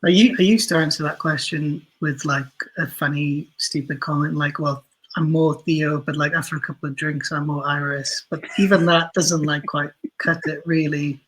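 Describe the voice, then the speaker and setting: A man's voice: fairly high pitch, fairly even intonation, normal speed, a bit bright, husky, rough recording. A man chatting casually as an interview guest, explaining things in a thoughtful, self-deprecating way. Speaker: guest, audio drama writer and producer.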